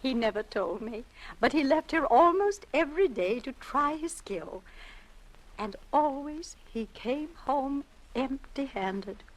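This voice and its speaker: An American actress playing a fragile, sanctimonious old churchgoing wife in a 1950s radio drama, speaking formally and earnestly. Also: female voice, high-pitched, animated, slow, full character voice, low-quality recording. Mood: pious, resigned. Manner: pious, earnest, formal, preachy.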